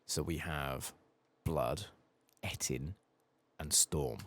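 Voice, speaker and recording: A soft-spoken man doing casual, muttered ASMR commentary as he plays through a video game. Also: rumbling low, extremely slow. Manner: casual muttering, swearing mildly. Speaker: ASMR gaming channel host.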